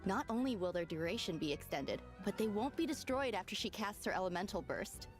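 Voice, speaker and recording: Woman presenter over clear background music. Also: mid-range voice, animated, a little fast. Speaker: game showcase presenter. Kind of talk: scripted upbeat promotional presentation.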